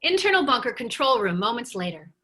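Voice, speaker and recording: A woman's voice: a little high, sing-song, brisk, sharp tone. A woman narrator reading stage directions from a script at a table read.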